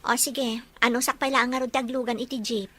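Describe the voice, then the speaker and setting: A young woman playing a gentle, caring girlfriend and daughter in an Ilocano audio drama. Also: fairly high pitch, level tone, fairly quick. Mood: understanding. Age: young adult.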